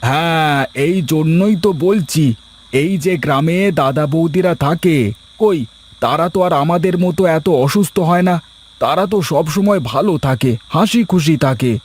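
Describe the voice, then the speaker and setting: A man's voice: fairly high pitch, normal speed, natural voice. A man voicing an earnest, exasperated well-off city husband in a dramatised moral story.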